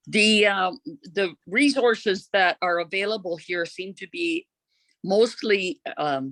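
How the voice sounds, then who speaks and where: A volunteer host speaks informally at a community meeting, at a middling pitch. The talk is halting, with frequent pauses to search for words. The sound is dry, with no room echo. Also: fairly bright tone.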